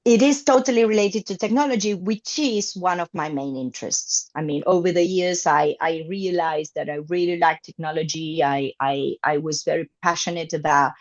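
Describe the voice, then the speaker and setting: Woman with a low, hesitant, muffled voice. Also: normal speed, few pauses. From Argentina. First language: Spanish. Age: 50s. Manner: hesitant, many fillers and restarts. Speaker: guest, English teacher. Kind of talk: conversational interview.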